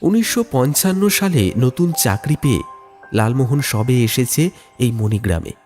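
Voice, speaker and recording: A man's voice: medium pitch, animated, normal speed, a little mellow. A man narrating a scripted ghost story in the first person, telling it in a dramatic, suspenseful way. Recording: music bed under the voice.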